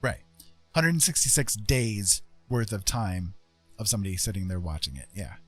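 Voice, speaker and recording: A man's voice: on the low side, lively intonation, normal speed, a bit bright, slightly rough, dry recording. A man chatting casually as a livestream host, explaining things in a rambling, emphatic way and repeating phrases for stress. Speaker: streamer host. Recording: music playing behind the voice. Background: music.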